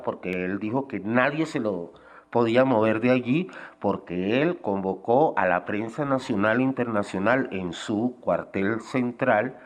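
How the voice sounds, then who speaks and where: A man of mature years recalls past events in an interview. His voice sits somewhat low, and he talks as a witness giving testimony. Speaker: former guerrilla giving testimony. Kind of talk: spoken recollection in an interview.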